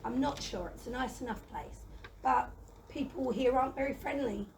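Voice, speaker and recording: A woman in a children's audio play voicing a gentle, lazy, timid dragon who chatters in a plaintive, excitable, wordy way. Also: high voice, normal speed, vocal fry. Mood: chatty, confiding. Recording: noisy, slightly distant microphone.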